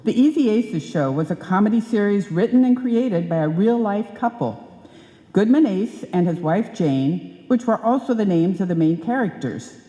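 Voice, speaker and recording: Mellow woman announcer in an echoey hall. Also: medium pitch, expressive, moderate pace. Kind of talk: scripted introduction to a live radio show recreation. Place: indoor hall stage, live performance. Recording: echoey hall, live stage.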